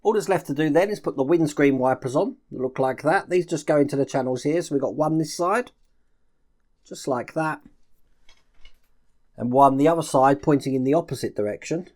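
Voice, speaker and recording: A man's voice: a little high, normal speed, darkish tone. A man chatting casually through a step-by-step build tutorial, explaining each move as he works. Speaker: host of a model-building channel.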